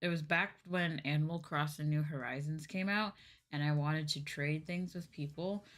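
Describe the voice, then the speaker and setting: A woman's voice: deep voice, fairly even intonation, normal speed, fairly bright tone. A woman streamer chatting casually and candidly to her viewers in a rambling, conversational way. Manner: conversational, candid, ranting with swearing. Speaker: streamer and VTuber host.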